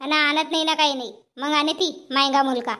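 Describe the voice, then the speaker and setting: A woman's voice: sits high, steady tone, a little fast, pushed and bright. A woman voicing a complaining village housewife in a cartoon comedy, chattering and scolding with a Powari accent. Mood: exasperated, nagging.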